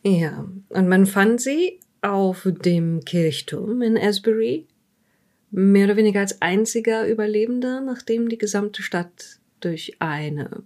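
A woman playing a polite, patient police interviewer in an improvised audio drama, courteous and a little halting. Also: mid-range voice, darkish tone, lightly acted. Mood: inquisitive.

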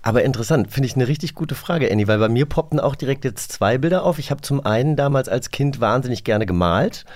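Man, medium pitch, casual podcast co-host, conversational delivery. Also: animated, fast talker, few pauses, a little mellow. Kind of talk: casual chat.

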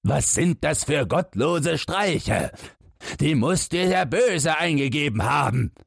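A man's voice: a little high, lively intonation, slow pace, vocal fry, husky, dry recording, clean studio sound, voice pushed up, exaggerated voice. Storybook father, played by a man: stern, scolding, weary, raised voice. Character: stern, exasperated father ashamed of his son.

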